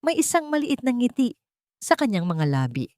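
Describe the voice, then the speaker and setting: A woman's voice: on the high side, very animated, moderate pace, a little mellow, no echo, studio quality. A woman with a Filipino accent reading a dramatic scripted story as its narrator.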